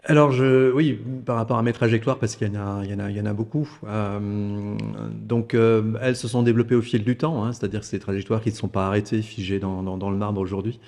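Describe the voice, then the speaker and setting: A man's voice: lowish voice, fairly even intonation, fast talker, a little mellow, big-room sound. A man in his fifties from Alsace, a podcast guest talking casually, with many repeated words and fillers. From Alsace, France. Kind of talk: casual conversation.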